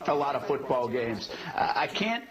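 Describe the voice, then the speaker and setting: A man's voice: mid-range voice, very animated, moderate pace, rough recording. A man working as a TV sports reporter, giving emphatic, conversational live commentary on breaking news. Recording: background chatter of control room.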